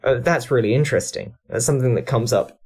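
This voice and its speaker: A man's voice: medium pitch, fast, darkish tone, breathy edge. A man talking reflectively and analytically as a panellist on a discussion podcast. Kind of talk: discussion and review podcast talk.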